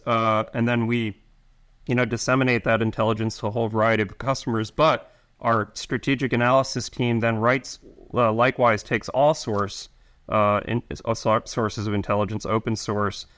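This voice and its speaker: Halting, low-voiced intelligence officer man. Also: a bit bright. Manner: halting, many restarts and fillers. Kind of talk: interview answers.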